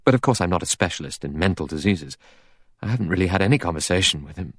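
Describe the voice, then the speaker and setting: A man reads the part of a doctor in an audiobook, deep-voiced and worried. He is hesitant and doubtful, with a well-bred, offhand turn. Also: lively intonation, fast talker, husky, dry recording, clean studio sound.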